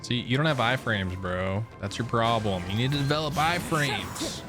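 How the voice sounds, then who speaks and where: A man's voice: mid-range voice, lively intonation, moderate pace. A man streaming a game and reacting casually in a slangy, jokey way. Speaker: gaming streamer. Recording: game music behind the voice.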